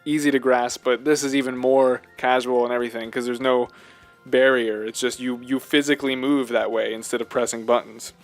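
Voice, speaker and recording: A man's voice: medium pitch, flat delivery, a little fast. Casual man podcasting over music. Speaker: podcast co-host. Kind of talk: casual chat, video game discussion.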